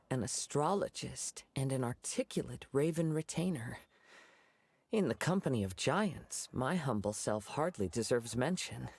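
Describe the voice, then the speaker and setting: Game wanderer: young swordsman, gentle and courteous, lilting pitch with a creaky edge, humble. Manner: soft-spoken, courteous, poetic. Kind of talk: video game voice acting.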